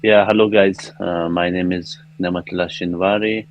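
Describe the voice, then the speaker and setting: A man's voice: sits low, steady tone, normal speed, muffled recording. A man from Afghanistan with an Afghan accent, answering interview questions casually in simple, hesitant English with repeated words. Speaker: interview guest, student. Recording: noticeable background noise.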